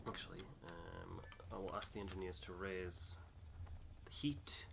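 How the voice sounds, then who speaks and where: A man's voice: low voice, flat delivery, a little slow, gravelly, background noise. A man giving casual game commentary as he plays, muttering half to himself. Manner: muttering to himself while playing. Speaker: gamer, playthrough host.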